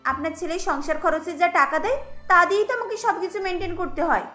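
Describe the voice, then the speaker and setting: A very high, sharp voice of an irritable cartoon housewife played by a woman. She complains and scolds like a harried mother defending herself over household troubles. Music plays faintly behind her. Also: brisk. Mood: exasperated complaining. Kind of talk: cartoon voice acting.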